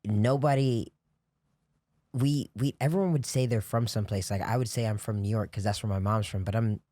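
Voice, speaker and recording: A man with a lowish, mellow voice tells stories in a loose, rambling way, often restarting and filling with "you know, like." It's relaxed podcast talk, recorded dry and close. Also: a little fast, dry recording. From grew up on US military bases in Japan. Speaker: podcast guest. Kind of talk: casual chat.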